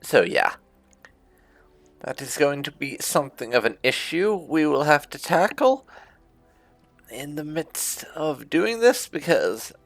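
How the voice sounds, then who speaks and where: Male let's play host, very animated pitch, unhurried pace, halting and thoughtful, casual commentary. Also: vocal fry, no echo. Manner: halting, thoughtful delivery with murmured hums. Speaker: gaming video host. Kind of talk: casual let's play commentary, reading dialogue choices aloud in roleplay.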